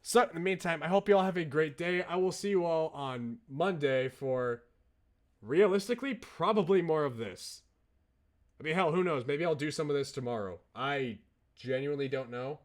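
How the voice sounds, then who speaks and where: A man's voice: fairly high pitch, moderate pace. A man chatting casually on a livestream as a game streamer, enthusiastic and informal in how he talks.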